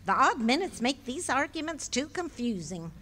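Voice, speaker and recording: A woman's voice: high-pitched, big pitch swings, moderate pace, a bit bright, exaggerated voice. A woman playing a patient, dryly witty middle-aged wife in a live radio play. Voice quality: dry, teasing.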